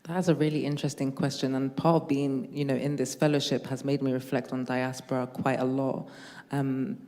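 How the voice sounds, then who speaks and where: A woman's voice: extremely low pitch, little pitch movement, normal speed, warm tone. A woman from London with a London accent, speaking reflectively as a panelist. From London, England. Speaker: panel speaker, peacebuilding fellow. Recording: reverberant hall.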